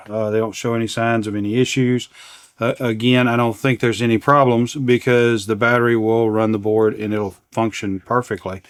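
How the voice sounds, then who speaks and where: A man's voice: mid-range voice, no room sound, studio quality. A man hosting a repair demonstration, talking casually and unscripted, partly to himself as he works at a bench. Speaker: repair channel host. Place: indoors at an electronics workbench.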